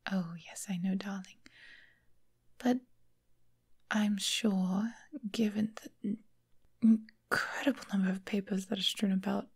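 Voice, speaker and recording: Woman playing a slow, whispering, caring vampire mistress. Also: medium pitch, steady tone. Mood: coaxing, soothing. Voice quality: breathy, soft whisper. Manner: gentle, unhurried, genteel, affectionate endearments. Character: caring, refined female vampire mistress, long-lived doting lover. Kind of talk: ASMR roleplay, whispered and soothing.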